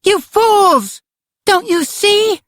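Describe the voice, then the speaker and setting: A man's voice: sits high, slow pace, creaky, dry recording, clean studio sound, pitched above the performer's own voice, full character voice. A man voicing a solemn little girl in an audiobook, speaking in a grave, pleading manner. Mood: desperate, pleading. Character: solemn little girl with an alien controller inside. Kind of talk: audiobook character acting.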